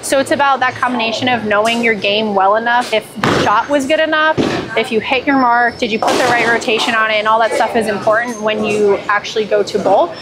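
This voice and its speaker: A woman's voice: a little high, steady tone, fairly quick. A young woman and pro bowler explaining her practice to camera, easygoing and step by step, on a lapel mic in a large indoor bowling alley. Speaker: professional bowler coaching. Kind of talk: casual instructional talk to camera. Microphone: clip-on lapel mic. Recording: loud bowling alley noise behind. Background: bowling alley noise, clicks.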